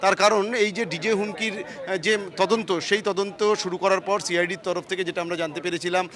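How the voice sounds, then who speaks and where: A man's voice: high voice, fairly even intonation, moderate pace, crowd noise. A man with a West Bengal accent giving an unscripted live field report outdoors, in emphatic, repetitive phrases. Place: outdoors on a city street outside a court.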